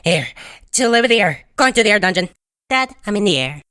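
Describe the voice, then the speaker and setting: A man voicing an excitable robot in a video game parody, babbling in a disjointed, repetitive way. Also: sits high, fairly quick, smooth, dry recording, clean studio sound, full character voice. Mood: excited babbling. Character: excitable, babbling male robot sphere speaking nonsense.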